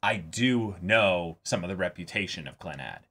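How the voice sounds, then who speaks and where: A man's voice: sits low, lively intonation, moderate pace, sharp tone. A man in casual discussion as co-host of a reaction show, thinking aloud and hedging as he goes. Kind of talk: casual discussion of an anime episode.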